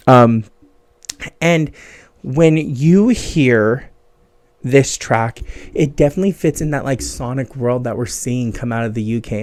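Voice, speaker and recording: A man's voice: medium pitch, a little mellow, no echo. A man hosting a music podcast in a chatty, enthusiastic way with casual, slangy talk. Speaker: podcast host.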